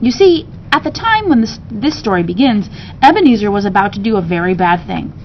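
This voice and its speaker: A woman's voice: medium pitch, animated, fairly quick, dull audio, slight background noise. A woman reading a children's story aloud expressively, like a teacher to students, with casual asides.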